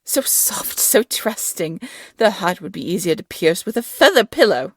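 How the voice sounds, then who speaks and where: A woman's voice: medium pitch, sing-song, normal speed, no room sound. A woman playing a vain, scheming evil fairy-tale queen in an audio drama, imperious and theatrical. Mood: impatient, imperious.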